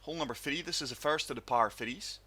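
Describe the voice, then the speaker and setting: A man with a sore throat, hosting his gaming channel and narrating a golf game in calm, steady play-by-play. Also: mid-range voice, fast, husky. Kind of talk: casual gameplay commentary.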